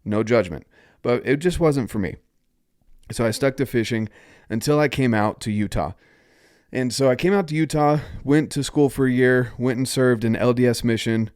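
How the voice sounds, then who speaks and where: A man's voice: mid-range voice, moderate pace, a little mellow, dry recording, clean studio sound. A man from North Carolina hosting a solo podcast, talking upbeat and encouraging while stuffed up from a cold. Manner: upbeat, encouraging, invites listeners to join. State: stuffed-up nose. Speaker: podcast host.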